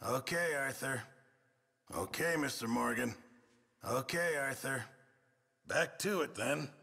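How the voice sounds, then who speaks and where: Gravelly, huffy Western camp cook. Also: male voice, mid-range voice, takes it slowly, bright, forceful tone, long reverb tail, full character voice. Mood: resigned. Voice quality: gravelly, husky. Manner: flustered, huffy, self-important. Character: put-upon middle-aged camp cook in a Western, touchy, grumbling. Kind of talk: video game character barks.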